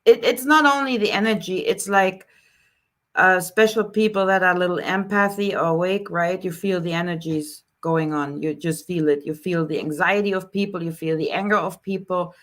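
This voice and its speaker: A woman from Germany with a German accent, hosting a casual live question-and-answer stream, greeting viewers by name and talking in an unhurried way with many fillers. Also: on the low side, steady tone. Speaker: live stream host, spiritual healer. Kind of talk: casual live Q&A, reading chat comments.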